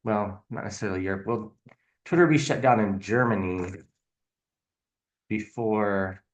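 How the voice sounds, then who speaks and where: Male tarot channel host, lowish pitch, unscripted and halting, speculative, thinking out loud as he reads. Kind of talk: casual tarot reading commentary on politics.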